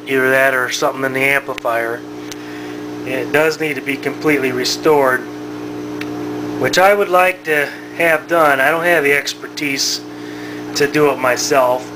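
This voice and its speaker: A man giving a casual, unscripted demonstration as a hobbyist, talking in a halting, matter-of-fact way. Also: medium pitch. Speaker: hobbyist showing his vintage radio-phonograph. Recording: noisy home recording with steady hum.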